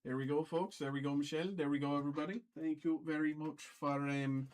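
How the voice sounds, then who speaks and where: Halting man streamer with put-on Irish accent. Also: fairly high pitch, steady tone, darkish tone. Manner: halting, repeats phrases. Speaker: trading card break livestream host. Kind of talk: casual livestream commentary, calling out cards.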